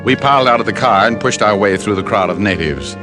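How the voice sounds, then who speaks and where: An actor playing a hard-boiled American private detective in an old-time radio drama, wry, clipped and pushy. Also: male voice, fairly low pitch, expressive, fast talker, music in the background, natural voice. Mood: wry narration.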